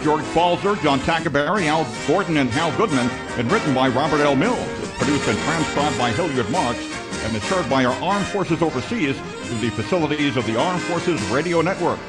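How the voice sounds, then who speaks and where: Higher-pitched male radio announcer over loud music. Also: expressive, moderate pace, rough recording. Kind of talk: 1940s radio announcing, scripted.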